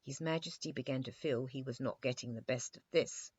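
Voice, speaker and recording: A woman's voice: extremely low pitch, a little fast, darkish tone, slightly rough, highs cut off. A woman narrating a scripted children's bedtime story.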